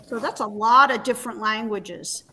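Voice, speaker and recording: A woman's voice: a little high, fairly even intonation, normal speed, bright, forceful tone, poor audio. A woman hosting a webinar in a conversational presenting style. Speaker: webinar host.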